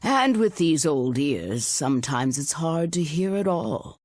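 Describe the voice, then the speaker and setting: Slow, genteel old woman. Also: low-pitched, sing-song, a little mellow, dry recording, exaggerated voice. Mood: dry, matter-of-fact. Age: older. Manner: genteel, unhurried, addresses others as dear. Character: courteous, sly old woman, a shady informant. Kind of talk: English video game voice acting.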